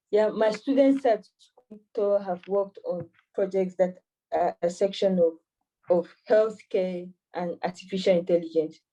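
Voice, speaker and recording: A woman's voice: on the low side, dark tone, far from the mic, poor audio. A woman in her twenties from Cameroon, an AI mentor answering interview questions hesitantly with many fillers and restarts. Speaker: AI mentor and master's student.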